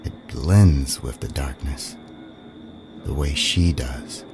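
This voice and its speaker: Slow, deep-voiced haunted young man, acted by a man. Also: vocal fry, exaggerated voice. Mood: tense, hushed. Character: haunted young man, drawn yet frightened, narrating. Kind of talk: first-person scripted audio drama narration. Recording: music under the voice.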